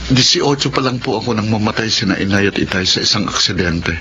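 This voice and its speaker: A man's voice: lowish voice, expressive, fast, background noise. A man narrating and playing a polite, humble young tricycle driver who supports his siblings. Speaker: narrator and lead actor. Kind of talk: 1970s-style radio drama acting. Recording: dull lo-fi radio recording with music.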